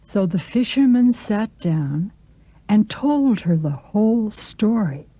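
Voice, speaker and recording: Dark, mellow woman storyteller. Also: mid-range voice, lively intonation, slow pace, no room sound, low-quality recording. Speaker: narrator. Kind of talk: scripted children's story narration.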